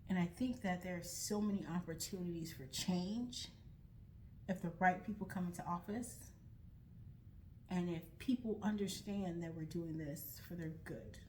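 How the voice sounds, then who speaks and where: A woman's voice: fairly low pitch, normal speed, a little mellow, slight background noise, low-quality recording. Earnest young woman talking to camera. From United States. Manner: earnest, reflective, with frequent restarts and "like". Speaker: vlogger starting a nonprofit. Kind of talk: earnest personal talk to camera.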